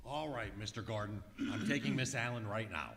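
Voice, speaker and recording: A man performs an exasperated older husband who blusters gruffly, with lively swings in pitch. The style is old-time radio comedy, echoing in a live hall. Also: fairly quick. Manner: blustering, put-upon. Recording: echoey hall, live stage recording.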